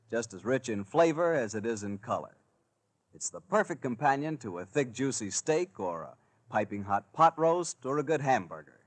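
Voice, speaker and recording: Animated American radio announcer, man. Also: medium pitch. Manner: polished, folksy salesmanship. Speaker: announcer and host. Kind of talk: 1940s radio announcing and sponsor reading.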